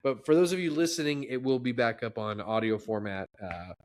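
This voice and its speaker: A man's voice: medium pitch, brisk. A man co-hosting a casual podcast chat about movies, sounding congested and rambling with frequent restarts. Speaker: podcast co-host.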